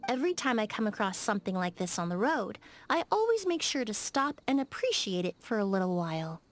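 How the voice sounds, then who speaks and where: An actress playing a polite, precise young woman perfumer in a video game, speaking in a measured, articulate and frank way. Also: medium pitch, very animated, a little hiss or hum, close to an everyday voice. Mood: calm, reflective. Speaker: game character voice actor. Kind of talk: scripted video game dialogue.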